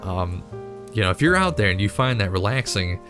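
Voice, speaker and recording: A man's voice: on the low side, sing-song, brisk, music in the background. A man hosting a video channel, chatting casually in a rambling, jokingly incredulous way with frequent self-interruptions. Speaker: YouTube cartoon channel host.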